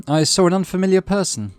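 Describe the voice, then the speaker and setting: A man playing a nervous schoolboy, pitched up. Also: animated, normal speed, no room sound. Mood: nervous, hesitant. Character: nervous, hesitant schoolboy speaking up in class.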